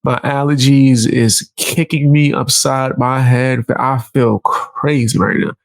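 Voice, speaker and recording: A man's voice: medium pitch, slow, creaky, no room sound. A congested man with a New York accent, commentating casually, talking through a stuffy nose and joking with his chat. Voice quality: nasal. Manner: animated, jokes with live chat. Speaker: commentary streamer.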